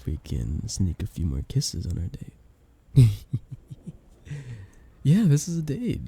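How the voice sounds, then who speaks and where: A man's voice: lowish voice, wildly swooping pitch, slow pace, creaky, mellow, husky, heavily acted. A man playing a shy young guy confessing love to a best friend in an intimate ASMR romance roleplay, tender and hesitant. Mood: playful, affectionate.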